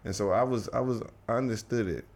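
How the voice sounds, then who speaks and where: A man's voice: lowish voice, fast, darkish tone. A young man from the Washington DC area, talking casually as a guest and rambling with many restarts. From United States, Washington DC area. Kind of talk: casual conversation.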